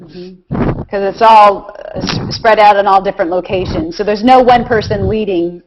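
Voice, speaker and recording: A woman's voice: mid-range voice, steady tone, normal speed. A woman hosting a live video hangout, casually asking questions and relaying comments from viewers. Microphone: video call. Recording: dull, noisy internet video call.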